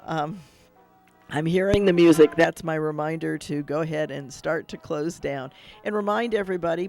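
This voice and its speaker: A man's voice: sits high, creaky, a little mellow. A man hosting a radio call-in show as a rabbi, explaining at length and thinking aloud with restarts.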